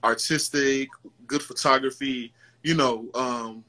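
Thirty-something guy guesting on a chat, husky bright voice, easygoing. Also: mid-range voice, fairly even intonation, slow. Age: 30s. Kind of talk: casual interview chat.

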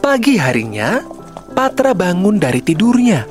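A woman's voice: medium pitch, very animated, a little slow, darkish tone, faint music behind. A woman narrator reading a scripted children's story.

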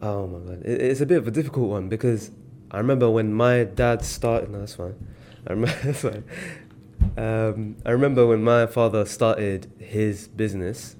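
A young British man, telling stories in a relaxed, slangy way as a podcast guest. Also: fairly low pitch, normal speed, a little hiss or hum. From Britain. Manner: relaxed storytelling with slang and fillers. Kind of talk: casual podcast chat.

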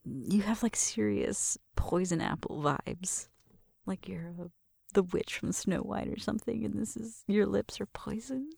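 Breathy, whispery flirty roommate played by a woman. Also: mid-range voice, measured pace, dry recording, studio quality, full character voice. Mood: playful, admiring. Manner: soft whispering mixed with murmured talk, shy and teasing. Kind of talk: ASMR roleplay, intimate one-sided conversation.

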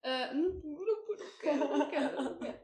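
A woman's voice: high voice, a little fast, darkish tone, echoey room. A young woman with a European Portuguese accent, co-hosting a casual podcast chat, hesitant and full of fillers and restarts. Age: young adult. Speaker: podcast co-host.

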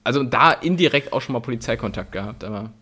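A man's voice: medium pitch, animated, quick pace, bright, forceful tone. A young man co-hosting a podcast, telling rambling anecdotes in casual, slangy language. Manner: slangy, colloquial storytelling. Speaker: podcast co-host.

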